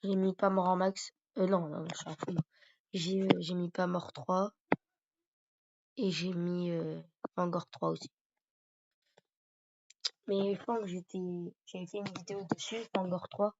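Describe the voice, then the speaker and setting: A man's voice: high-pitched, little pitch movement, measured pace, pauses often, darkish tone. A man with a cold and a sore throat, rambling hesitantly through casual commentary over his gameplay. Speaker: gaming YouTuber. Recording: dull lo-fi recording.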